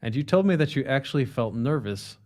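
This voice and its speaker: Man, podcast host, mid pitch, friendly, jokey questions. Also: animated, brisk, a little mellow. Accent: non-native speaker of Polish, foreign. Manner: friendly, joking, asks long questions. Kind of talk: interview questions.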